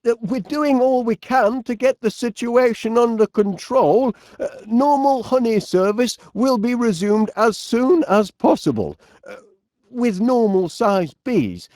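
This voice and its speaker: A genial, bumbling middle-aged English inventor for a comedy video game. He has a Northern English accent and takes his time over his words, with pitch that swoops about. He is eager yet easily flustered and hesitant, recorded in a very dry studio sound. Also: expressive, unhurried, darkish tone, no room sound. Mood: flustered, apologetic. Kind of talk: animated comedy game voice acting.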